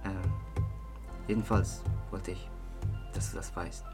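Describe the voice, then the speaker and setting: A young man playing a lovesick, awkward, stoned partygoer in an audio drama, halting and earnest. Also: sits low, fairly even intonation, creaky, heavily acted. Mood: nervous, lovestruck. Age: young adult. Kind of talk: amateur audio drama dialogue. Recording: music behind the voice.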